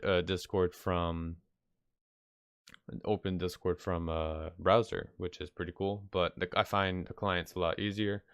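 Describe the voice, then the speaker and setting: A man with a slightly nasal, congested voice from being sick, rambling offhandedly through an unscripted software tutorial. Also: low voice, clear, resonant tone, no room sound, lo-fi sound. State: sick with stuffy nose. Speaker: video tutorial host. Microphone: close mic, screen-recording voice-over.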